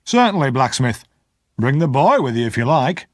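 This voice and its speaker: A man's voice: mid-range voice, big pitch swings, normal speed, dry recording, studio quality. A man voicing a stern army sergeant with a clipped, commanding manner. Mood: brisk.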